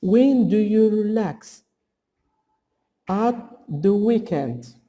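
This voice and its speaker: Man, grammar teacher, high voice, deliberate instructional reading, long echoing hall reverb. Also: expressive, warm tone. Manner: deliberate, didactic, reading out example questions and answers. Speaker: English teacher. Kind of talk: scripted grammar lesson narration.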